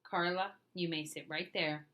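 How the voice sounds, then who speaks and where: A stern, fed-up schoolteacher from a storybook, laying down the law to her class, as a woman reading aloud voices her. Her tone is firm and bright. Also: on the low side, fairly even intonation, unhurried. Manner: stern, exasperated.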